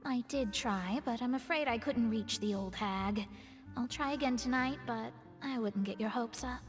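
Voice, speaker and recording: A woman's voice: a little high, normal speed, close to an everyday voice. A woman acting a proud, earnest young astrologer in an anime game, speaking in articulate, formal phrases. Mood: matter-of-fact. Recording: long reverb, music behind. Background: game music.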